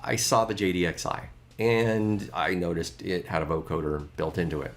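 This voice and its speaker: A man's voice: sits low, fairly even intonation, moderate pace. A middle-aged man talking casually about his music gear, chatty and a bit rambling.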